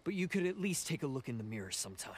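An actor voicing a proud, bitter outcast oni demon in a video game, speaking with earnest, scornful drama. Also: male voice, mid-range voice, big pitch swings, racing, heavy background noise. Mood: exasperated. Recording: game music over the voice.

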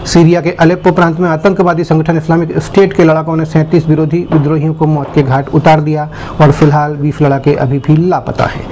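A man presenting a scripted news bulletin in a formal, measured announcing manner. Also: on the high side, mellow, slight background noise, low-quality recording.

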